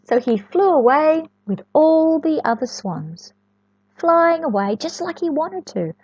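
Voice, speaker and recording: A woman storyteller reads to small children in a fairly high, very expressive voice. She adds chatty asides and repeats words for emphasis. The recording is close and dry, slightly dull. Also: a little slow, no room sound. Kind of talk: picture-book storytelling to young children.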